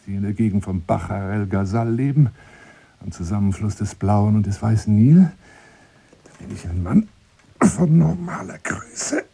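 An actor playing a lonely, boastful gentleman courting by telephone in formal, flowery German radio drama style, moving between murmurs and outbursts. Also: medium pitch, creaky, slightly rough, exaggerated voice. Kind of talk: radio drama monologue as one side of a phone call.

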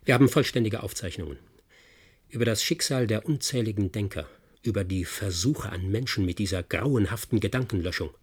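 An actor in a German radio play playing a defiant, guilt-ridden scientist with a grave, deliberate delivery. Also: male voice, fairly low pitch, husky. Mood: determined, threatening.

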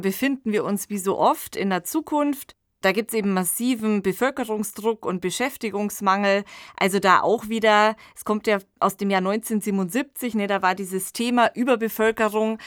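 A woman's voice: medium pitch, no echo, studio quality. A woman co-hosting a podcast, explaining things in a conversational style. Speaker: podcast co-host.

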